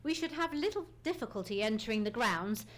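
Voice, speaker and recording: A woman's voice: on the high side, lively intonation, fairly quick, close to an everyday voice. A woman playing a keen, commanding male detective in a live old-time radio mystery, speaking precisely and theatrically. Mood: brisk, commanding. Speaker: radio play actor. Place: theatre stage. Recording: noisy live theatre stage recording.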